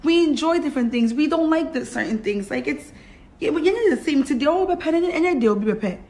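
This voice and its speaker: A woman's voice: sits high, animated, a little fast, a little mellow. A woman speaks off the cuff to her followers from a bedroom. She is blunt and emphatic, with a defiant, unfiltered delivery. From raised in Brooklyn, New York; Ghanaian. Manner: blunt, emphatic, defiant, swearing, switching into Twi. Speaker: vlogger addressing followers. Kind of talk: unscripted casual rant to camera. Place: small bedroom, sitting on a bed indoors.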